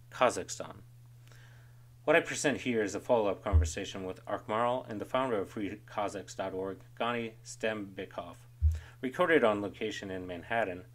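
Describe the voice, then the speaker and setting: A man hosting an interview series, giving a measured, earnest introduction. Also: fairly low pitch. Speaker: host. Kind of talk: scripted introduction, then casual interview hosting.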